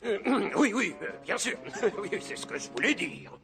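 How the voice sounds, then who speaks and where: Flustered, high-pitched cartoon fire chief. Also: male voice, sing-song, measured pace, gravelly, over music, exaggerated voice. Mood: flustered, agreeable. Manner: hesitant, blustering. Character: flustered, bumbling, good-natured fire chief. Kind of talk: French cartoon dub acting.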